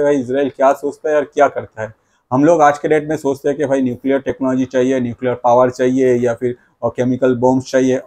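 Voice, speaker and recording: A man's voice: medium pitch, darkish tone. A man, explaining news to camera in an explanatory way, mixing in English phrases. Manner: explanatory, repetitive catchphrases with English phrases mixed in. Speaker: video creator, host. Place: small plain indoor room. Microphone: lapel mic.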